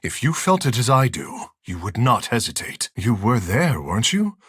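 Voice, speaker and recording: Close, dry sound with a very animated, swooping voice. a vain young fish-man from a fantasy video game, slightly husky at a middle pitch. He speaks in grand, formal phrases with theatrical charm and a teasing streak. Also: moderate pace, dry recording, full character voice. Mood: grave, dramatic. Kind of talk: video game character dialogue.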